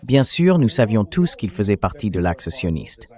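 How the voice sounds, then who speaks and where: Male synthetic dub voice for a political analyst: fairly low, emphatic, opinionated, posing rhetorical questions. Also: big pitch swings, normal speed, darkish tone. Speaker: political analyst, interview guest. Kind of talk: opinionated political commentary, dubbed translation read by AI voice.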